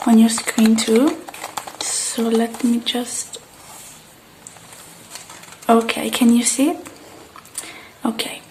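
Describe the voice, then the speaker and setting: A woman playing a gentle, polite repair technician in a soft-spoken ASMR roleplay, murmuring and sometimes whispering in an unhurried way. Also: fairly high pitch, a little hiss or hum, rough recording. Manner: soft, unhurried, murmuring to herself while working.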